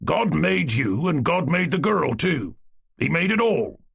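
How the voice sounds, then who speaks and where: A man reading aloud voices a loud, teasing old Cossack man who speaks emphatically. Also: a little high, very animated, a little slow, gravelly, breathy edge, pitched above the performer's own voice, full character voice. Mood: boisterous.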